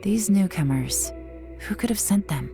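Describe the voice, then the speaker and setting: A woman playing a shrewd, composed investigator in a video game, speaking in a measured, knowing way with cool amusement. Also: medium pitch, lively intonation, warm tone, faint music behind. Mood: cool, analytical. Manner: measured, knowing, sly deductive delivery.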